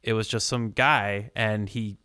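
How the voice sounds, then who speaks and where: A man's voice: on the low side, fairly even intonation, measured pace, bright, forceful tone, clear, resonant tone, no room sound, studio quality. A man co-hosting a podcast, chatting loosely with lots of filler words. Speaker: podcast co-host. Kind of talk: casual interview chat.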